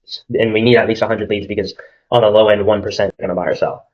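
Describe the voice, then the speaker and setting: A man's voice: fairly low pitch, fairly even intonation, fast talker, lo-fi sound. A young man from Arizona running a sales call, casual and filled with "like" and "at the end of the day". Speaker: marketing agency salesman.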